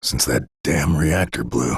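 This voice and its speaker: A man's voice: a bass voice, measured pace, vocal fry, husky, dry recording, clean studio sound, heavily acted. A man playing a spiteful, bitter unemployed townsman in a video game. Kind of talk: video game NPC voice acting.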